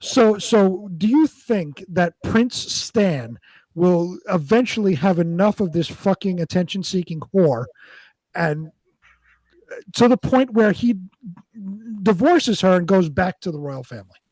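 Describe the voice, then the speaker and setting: High-voiced man in casual profane chat. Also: animated, unhurried, a little mellow, dry recording, rough recording. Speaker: talk show panelist.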